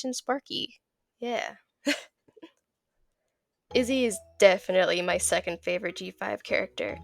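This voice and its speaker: Giggly young woman with a slightly off voice. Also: medium pitch. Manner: giggly, stumbles and repeats words. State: recovering from illness, voice a bit off. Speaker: video creator. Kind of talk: casual narrated art vlog.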